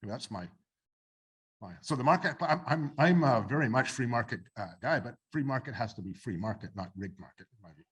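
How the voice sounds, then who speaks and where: A man's voice: mid-range voice, expressive, fairly quick, a bit bright, slightly rough. A man speaking on a panel discussion, opinionated and joking with the audience, with many restarts. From Canada. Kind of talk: panel discussion answering questions.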